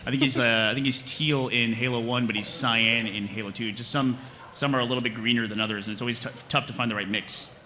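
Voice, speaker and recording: A man's voice: mid-range voice, fairly even intonation, fast, sharp tone. A man talking casually as a panelist, answering audience questions at a live convention event. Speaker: panelist and cast member at a fan convention. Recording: some background noise, live convention panel.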